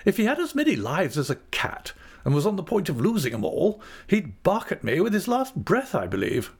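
A man voicing a brisk, kindly older aunt in an audiobook, affectionate, cheerful and plain-spoken. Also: high-pitched, wildly swooping pitch, vocal fry, breathy edge, voice pushed up, heavily acted. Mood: brisk, emphatic.

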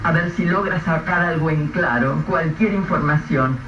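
Male radio drama narrator, measured and grave, slightly higher voice; dull, lo-fi take with light hiss. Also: music in the background. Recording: echoey, distant, dull lo-fi with faint hiss.

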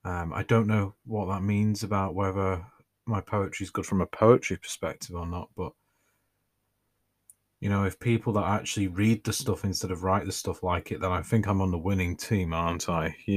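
A man reads his own writing for his podcast. His voice sits very low, and his delivery is relaxed, with a dry, self-mocking humour. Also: normal speed. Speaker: podcast host and author.

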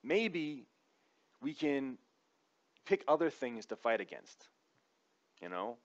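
Male comedic commentator, mid-pitched, informal and wry, conversational opinion delivery, slightly muffled lo-fi recording. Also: sing-song, slow pace. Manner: informal, wry, sometimes profane. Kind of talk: conversational opinion monologue with comic asides.

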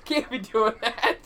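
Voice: medium pitch, sharp tone. A twenty-four-year-old co-hosts a loose podcast, teasing a friend and laughing and swearing as they go. The voice sits in a middling register. A faint hiss runs under the recording. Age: 20s. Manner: teasing, laughs often, profane. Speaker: podcast co-host. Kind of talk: casual chat.

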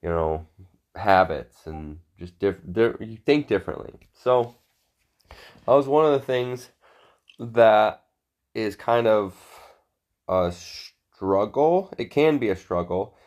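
A man's voice: fairly low pitch, expressive, slow pace, darkish tone. A man with a congested, sore-throated voice co-hosting a podcast in blunt, sweary, unscripted chat. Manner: profane, blunt. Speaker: podcast co-host.

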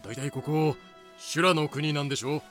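A man's voice: fairly high pitch, steady tone, moderate pace, fairly bright tone, close to an everyday voice. A man acting a timid, grumbling everyman slave up for sale, with hesitant, wry retorts in a fan-made voice drama. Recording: music behind the voice.

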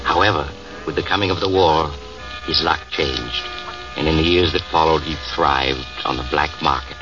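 A man narrating a 1940s radio drama in a grave, measured, dramatic manner. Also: rumbling low. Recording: muffled old radio broadcast, distant, music under.

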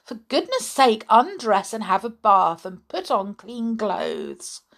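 A woman's voice: a little high, huge pitch swings, slow, a bit bright, voice pushed up, heavily acted. A woman voicing a fussy male teddy bear toy who scolds primly. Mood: scolding. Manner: scolding and prim. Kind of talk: storybook reading.

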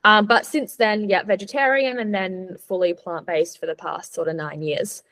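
A woman's voice: medium pitch, fairly even intonation, normal speed, a bit bright. Young woman, informal and upbeat podcast guest. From born in Switzerland, moved to Australia as a child. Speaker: podcast guest, sports nutritionist. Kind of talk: casual conversational interview answers.

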